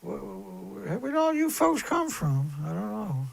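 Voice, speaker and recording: A man with a fairly high, squeaky voice speaks as the host of a live stream. His monologue rambles and circles back on itself, delivered in a blunt, aggrieved and emphatic way. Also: very animated, measured pace, vocal fry.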